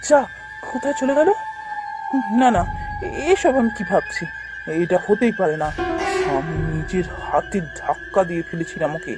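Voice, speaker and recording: A man's voice: high-pitched, sing-song, moderate pace, long pauses, rough recording. A man voicing a guilty, anxious married writer in a horror cartoon, exclaiming and repeating himself. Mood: frightened, uneasy. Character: guilty, jumpy married male writer in ghost story. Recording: music behind the voice.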